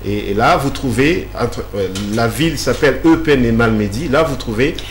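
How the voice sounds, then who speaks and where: A man's voice: medium pitch, normal speed. A man answering interview questions at length, explaining patiently with many fillers and restarts. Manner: hesitant, many fillers and repeated words. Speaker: guest. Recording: echoey room with faint hum.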